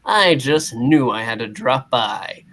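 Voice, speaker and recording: A man's voice: mid-range voice, animated, slow, gravelly, fairly bright tone, full character voice. A man voicing a confident, wry superhero in a dry, quippy manner, reading a comic book aloud.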